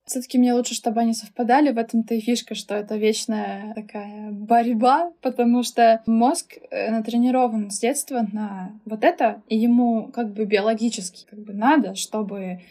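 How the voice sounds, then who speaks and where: Casting: young woman, podcast guest, lightly high pitch, warm dark timbre, informal explanatory chat. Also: steady tone, normal speed, hardly any pauses. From Russia. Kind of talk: casual interview chat.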